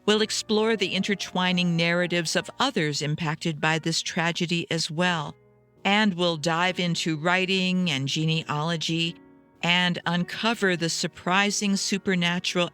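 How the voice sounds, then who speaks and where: Clear, smooth-voiced American woman podcaster. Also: on the low side, steady tone, measured pace, fairly bright tone, faint music behind. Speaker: podcast host. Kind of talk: conversational podcast narration.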